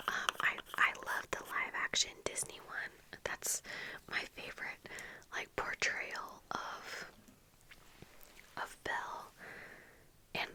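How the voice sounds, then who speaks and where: A woman in her thirties speaking in a breathy whisper, chatting casually and haltingly in ASMR style close to the mic. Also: low-quality recording. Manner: casual, halting, meandering storytelling. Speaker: ASMR video creator. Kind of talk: whispered ASMR get-ready-with-me chat. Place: small indoor room with bookshelves. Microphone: close furry-covered microphone on desk, earphones in.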